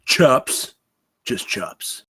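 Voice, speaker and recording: A man's voice: very slow, sharp tone, dry recording, clean studio sound. A man playing an awkward, hesitant young graduate student at a comedy table read.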